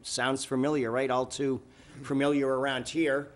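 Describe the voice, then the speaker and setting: A man chairing a city council meeting, giving informal remarks and updates in a halting, conversational way. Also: mid-range voice, flat delivery.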